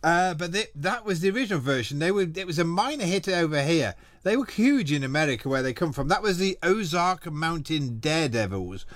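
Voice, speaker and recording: A man's voice: a little high, very animated, fairly quick, few pauses, fairly bright tone, dry recording. Chatty man on a dry studio mic. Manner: chatty, rambling, self-deprecating, laughs often. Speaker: radio presenter. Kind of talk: casual radio chat between songs. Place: small radio studio. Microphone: studio microphone.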